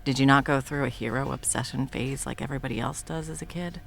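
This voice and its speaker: A woman's voice: rumbling low, steady tone, fast talker, gravelly, fairly bright tone, slight background noise, exaggerated voice. A woman in her thirties voicing a profane, blunt woman supervillain in an audio roleplay, mocking at first and then coaxing with pet names. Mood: indignant. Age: 30s. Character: sharp-tongued woman supervillain, long-time arch-enemy.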